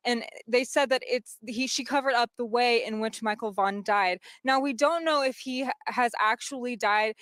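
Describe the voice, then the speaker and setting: An American woman giving a live TV news field report, rephrasing haltingly as she goes. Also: on the high side, a bit bright, no echo, clean studio sound.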